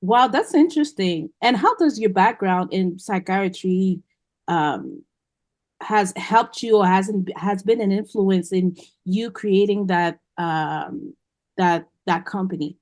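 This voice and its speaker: Female host, mid pitch, dry close mic, encouraging questioner. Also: slow pace, a little mellow, no room sound. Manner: encouraging, brief reactions. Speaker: interview host. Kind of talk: interview questions.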